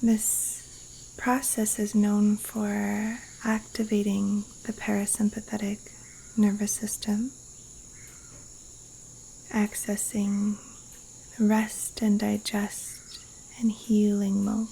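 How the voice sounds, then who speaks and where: A woman guiding a yoga nidra meditation in a hushed, breathy, soothing voice with long pauses, recorded outdoors in the jungle. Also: medium pitch, monotone, low-quality recording. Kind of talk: guided meditation. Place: outdoors in a Costa Rican jungle. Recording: faint rainforest insect and cricket noise. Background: insects and rainforest wildlife sounds.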